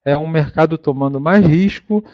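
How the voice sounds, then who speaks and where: A man's voice: a little high, no room sound. Brazilian man presenting, dull dry audio. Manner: halting, with repeated words and self-corrections. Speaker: fund manager presenting a conference call. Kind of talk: informal business presentation with explanations.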